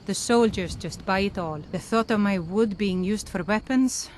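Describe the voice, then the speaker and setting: A woman's voice: medium pitch, normal speed, darkish tone, slight background noise, natural voice. A woman playing a middle-aged, hardworking mill owner in a video game, speaking in an earnest, plain-spoken, opinionated way. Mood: earnest, proud. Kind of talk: video game character dialogue. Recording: music behind the voice.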